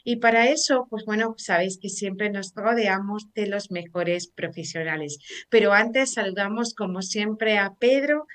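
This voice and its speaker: Una mujer con acento de España presentando en directo un programa de radio, charlando con soltura y muchas muletillas. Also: female voice, mid-range voice, measured pace. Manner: chatty and warm, frequent fillers like "eh" and "pues bueno". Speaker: radio show host. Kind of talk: live radio talk show hosting.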